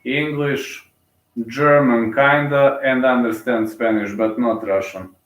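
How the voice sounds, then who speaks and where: A man's voice: mid-range voice, steady tone, slow pace, roomy sound, far from the mic. A man from Bulgaria with a Bulgarian accent, casually commentating a live fight and rambling with many fillers and restarts.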